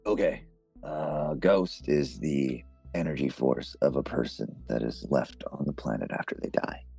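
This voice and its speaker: An actor playing a defensive, awkward man in his thirties obsessed with ghosts, speaking haltingly in a naturalistic audio drama style. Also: a bass voice, vocal fry, mellow, husky, faint music behind, heavily acted. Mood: earnest, explanatory. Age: 30s. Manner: hesitant, halting, self-correcting.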